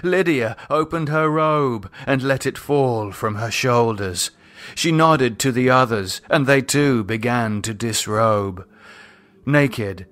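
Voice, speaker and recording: A man reads a horror novel aloud as an audiobook narrator. His delivery is slow and measured, with dramatic storytelling weight at a medium male pitch. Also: a bit bright.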